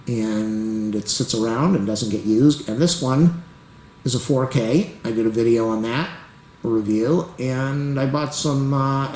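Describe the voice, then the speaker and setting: A man's voice: mid-range voice, a little mellow, slight background noise. Man talking to camera while he waits, voice wearing out; roomy echo. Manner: rambling, talks to the camera while waiting. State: losing his voice, clearing his throat. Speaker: YouTube tech tutorial host. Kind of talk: rambling casual screen-recording commentary.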